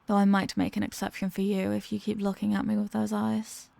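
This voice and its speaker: Creaky, seductive villainess acted by a woman, close-miked. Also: mid-range voice, completely flat delivery, a little fast, dark tone, no echo. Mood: confident, teasing. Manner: teasing, sultry, often dropping into breathy whispers. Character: seductive, dominant female villain toying with her hostage. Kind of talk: intimate ASMR audio roleplay. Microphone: close microphone in a dry space.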